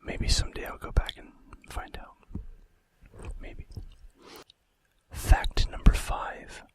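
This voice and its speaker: Whispering man, breathy ASMR narrator. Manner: hushed, deliberate scripted reading with soft casual asides. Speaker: ASMR video host.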